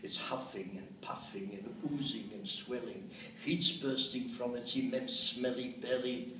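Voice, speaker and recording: A man's voice: medium pitch, steady tone, unhurried, pushed and bright. An elderly man in his eighties, a translator presenting and reciting verse expressively, with rhythmic emphasis. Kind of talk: expressive poetry recitation with spoken commentary. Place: indoors, meeting room. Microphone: camera or phone a short distance away. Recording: roomy, distant mic with faint noise.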